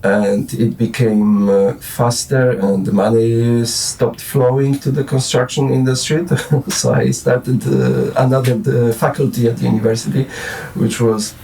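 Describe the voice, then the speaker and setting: A man's voice: medium pitch, flat delivery, unhurried, vocal fry, hardly any pauses, mellow, distant mic, slight background noise, poor audio. A Polish man in his forties with a Polish accent, rambling and restarting as a guest in a casual late-night interview. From Poland. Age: 40s. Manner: rambling, hesitant, many restarts and fillers. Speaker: guest musician.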